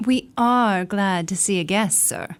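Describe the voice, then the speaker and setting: An actress playing a defiant, outspoken young gentlewoman in a period radio drama, prim but spirited. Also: mid-range voice, measured pace, clear, resonant tone, close to an everyday voice. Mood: eager.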